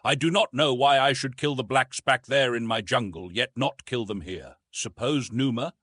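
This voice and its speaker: A man's voice: medium pitch, normal speed, fairly bright tone, no echo, studio quality, lightly acted. A man voicing a proud young wild man of the jungle in an audiobook, with grave, formal wording. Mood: defiant, dry.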